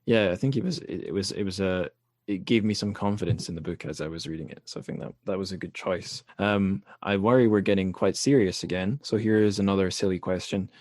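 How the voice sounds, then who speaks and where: A man hosting a podcast interview, relaxed and wry, whose sentences often trail off quietly at the end. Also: deep voice, a little fast, dry recording, clean studio sound. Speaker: podcast host. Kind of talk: conversational interview.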